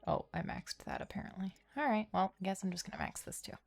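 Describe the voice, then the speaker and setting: A woman's voice: mid-range voice, big pitch swings, fast talker, vocal fry, faint music behind. A woman livestreaming a game, thinking aloud in a casual, playful way. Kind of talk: casual gameplay commentary.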